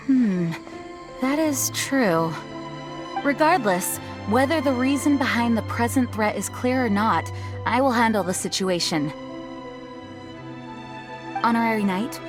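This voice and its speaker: Dutiful female knight for a fantasy game, played by a woman: mid-range voice, measured, courteous, over clear music. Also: mid-range voice, lightly acted. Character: composed, dutiful young female knight leader. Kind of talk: video game voice acting.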